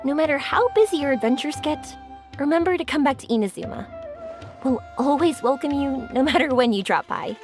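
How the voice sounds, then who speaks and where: A woman playing a cheerful, sincere young woman in an anime video game, expressive and bubbly. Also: sits high, brisk. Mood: friendly, encouraging. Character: cheerful, warm-hearted young woman fireworks maker. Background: music.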